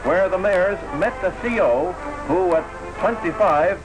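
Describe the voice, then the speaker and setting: A man's voice: sits high, measured pace, poor audio. A man reading scripted 1940s newsreel narration in a formal, patriotic announcer manner, on an old film soundtrack. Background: loud newsreel music.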